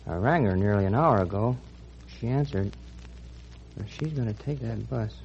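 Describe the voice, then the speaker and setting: A man's voice: lowish voice, expressive, moderate pace, creaky, darkish tone. A man playing a tired night hotel clerk in an old-time radio drama. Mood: puzzled. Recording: dull, noisy old radio recording.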